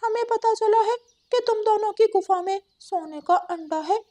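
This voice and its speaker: A woman's voice: very high voice, normal speed, mellow, pitched above the performer's own voice. A woman voicing a wary, suspicious forest bird in a children's cartoon, questioning and challenging. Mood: wary, demanding. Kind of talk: Hindi children's cartoon story acting.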